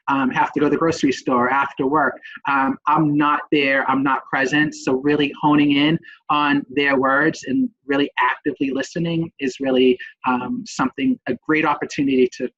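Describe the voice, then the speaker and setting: A middle-aged man with a Boston accent, a nurse presenting in a webinar, talking haltingly with many ums. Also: mid-range voice, fairly bright tone, muffled recording. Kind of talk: webinar talk.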